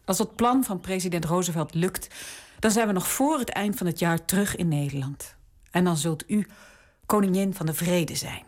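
An actress playing a dutiful princess daughter in a radio drama, speaking gently and deferentially. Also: female voice, fairly low pitch, normal speed, natural voice. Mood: persuasive.

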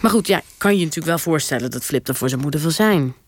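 A chatty, earnest woman guest in a deadpan radio comedy. She rambles hesitantly and matter-of-factly, in a very dry, close studio sound. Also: low voice, quick pace, no room sound. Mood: earnest, unbothered. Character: chatty, obliviously earnest woman absorbed in her imaginings.

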